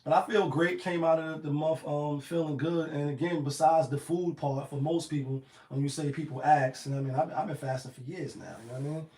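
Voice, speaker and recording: A man with a slightly raspy voice giving a casual, rambling motivational talk full of slang and "you know what I mean.". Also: fairly high pitch, fairly even intonation, brisk, few pauses, darkish tone, echoey room. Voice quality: a little raspy. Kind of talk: casual motivational monologue to camera.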